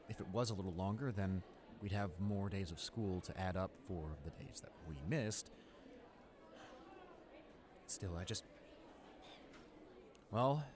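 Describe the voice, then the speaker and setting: A young man playing a friendly, easily flustered high school senior in a roleplay audio drama, with an awkward, rambling, hesitant way of talking. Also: lightly acted. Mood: grumbling, resigned. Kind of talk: roleplay audio drama, casual conversational acting.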